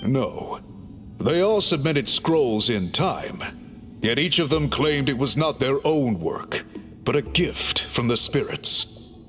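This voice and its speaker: Calm formal chief guy, explains things politely, bright lively voice, faint music. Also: mid-range voice, takes it slowly. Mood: thoughtful. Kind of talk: game cutscene voice acting.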